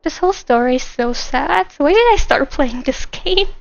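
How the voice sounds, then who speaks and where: A woman chats casually through a game stream. Her voice is high and animated, and she rambles as she comments. The audio is a little dull. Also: moderate pace, creaky, no room sound. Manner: animated, rambling, acts out imagined lines. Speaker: streamer, gamer.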